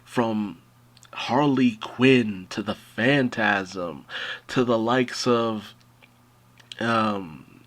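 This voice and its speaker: A man's voice: lowish voice, extremely slow, a bit bright. A young adult man giving an enthusiastic retrospective commentary as a fan host, gushing and leaning into words with theatrical emphasis. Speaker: video essay host and animation fan.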